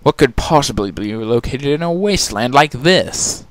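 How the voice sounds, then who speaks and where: A man's voice: mid-range voice, animated, normal speed, no echo. A man narrating a dramatic reading of a fantasy fan fiction story.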